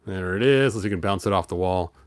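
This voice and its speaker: A man's voice: deep voice, fast talker, noisy background. A man reading a children's story aloud, breaking off for playful, rambling, wry asides in a casual way. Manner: playful, rambling, tosses in sound effects and wry remarks. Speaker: host of a toy and storybook show.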